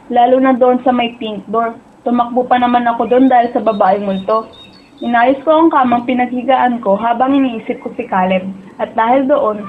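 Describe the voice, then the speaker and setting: Woman acting a dreamy, high-voiced Filipino schoolgirl, tinny sound. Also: a little hiss or hum, close to an everyday voice. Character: dreamy teenage schoolgirl who prefers dreams to reality. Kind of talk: radio drama dialogue and first-person narration. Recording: tinny narrow-band audio with music behind.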